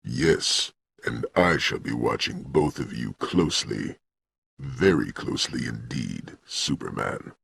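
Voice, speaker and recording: A man playing a cold, slow, gloating arch-villain in a video game. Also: extremely low pitch, animated, vocal fry, husky, full character voice. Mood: ominous. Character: cold, lurking male arch-villain watching his enemies. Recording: echoey, loud music underneath.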